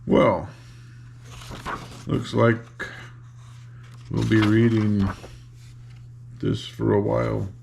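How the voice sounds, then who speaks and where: An older man reads a storybook aloud like a grandfather at ease. His voice sits low and is heavily creaked, with a very slow pace. He is informal and drops into casual asides. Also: flat delivery, darkish tone, slight background noise. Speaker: storybook reader and host. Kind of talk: reading a children's book aloud with casual asides.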